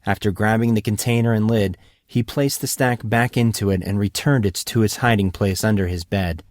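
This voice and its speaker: Male audiobook narrator, low pitch, scripted fantasy storytelling, dry close recording. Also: fairly even intonation, normal speed, no echo.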